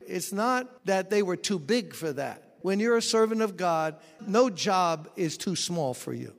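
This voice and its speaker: A man's voice: high voice, expressive, measured pace, vocal fry, in a large hall, soft background music. A pastor preaching a sermon, explaining scripture and telling stories from his own ministry. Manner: expository preaching with personal stories.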